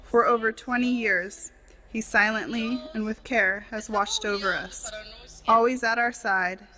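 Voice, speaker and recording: A woman reading a translated tribute and speech as a voice-over, in measured, formal phrasing with short clauses. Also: on the high side, fairly even intonation, sharp tone, rough recording.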